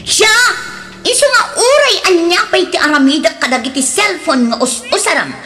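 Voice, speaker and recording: A woman with an Ilocano accent playing a jealous, domineering, sharp-tongued rich wife in a radio drama, scornful and full of accusing questions. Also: very high voice, expressive, moderate pace, music in the background. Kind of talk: Filipino radio drama acting.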